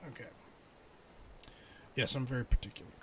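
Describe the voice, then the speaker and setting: A middle-aged man streams a game in a casual, conversational way, with a mid-pitched voice. He thinks out loud as he plays and chats with viewers. There is faint noise and game ambience behind him. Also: fairly even intonation, normal speed. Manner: thinks aloud, muttering while playing and chatting with viewers. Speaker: livestreamer.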